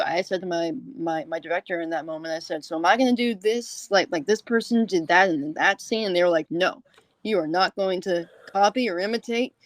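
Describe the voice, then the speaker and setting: Woman podcast host, lowish voice, dry audio, chatty and encouraging interviewer. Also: no room sound. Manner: informal, encouraging. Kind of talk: conversational interview.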